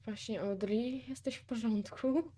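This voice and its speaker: A woman's voice: fairly high pitch, measured pace, dark tone. A woman with a Polish accent giving casual reaction commentary as a video host, musing and thinking aloud.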